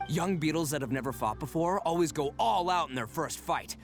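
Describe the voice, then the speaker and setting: Cocky loud oni dude, high bouncy voice, keeps bragging, game music behind. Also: male voice, lively intonation, brisk. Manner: boastful, loud laughs, brash. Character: boisterous horned oni man, cocky braggart. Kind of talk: game voice acting. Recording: game dialogue over music.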